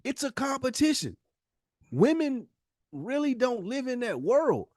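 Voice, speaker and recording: A man's voice: very high voice, very animated, a little slow, a little mellow, no room sound, clean studio sound. A man with an American accent speaking casually and emphatically as a podcast host, using slang and swearing. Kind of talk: casual, impassioned discussion.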